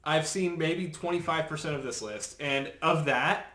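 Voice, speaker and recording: A man's voice: fairly high pitch, normal speed, sharp tone. Man chatting in an echoey room. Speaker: podcast panelist. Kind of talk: casual podcast chat about movies. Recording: roomy, echoey sound.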